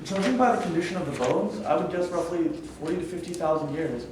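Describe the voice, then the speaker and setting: A man's voice: fairly high pitch, fast talker, background noise, close to an everyday voice. A man in a staged reading playing a careful, analytical young archaeologist who leads a team. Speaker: actor. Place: echoey room at a staged reading. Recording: very echoey room, distant mic.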